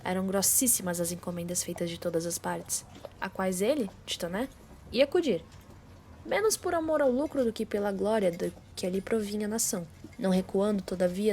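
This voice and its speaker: Teen female narrator, Brazilian, fairly high voice, reading a literary radio play over faint noise. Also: darkish tone. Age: teenager. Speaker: student narrator. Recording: amateur recording with background noise.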